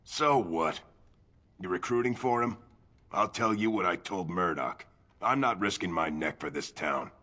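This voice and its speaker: A man playing a gruff, self-interested veteran fighter in a video game, blunt and sardonic. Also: deep voice, expressive, unhurried, sharp tone, full character voice. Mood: blunt refusal.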